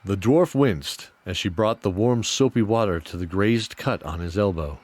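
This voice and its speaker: A man's voice: lowish voice, moderate pace, a little mellow, no room sound. A man reading scripted fantasy storytelling narration for an audio drama. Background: music.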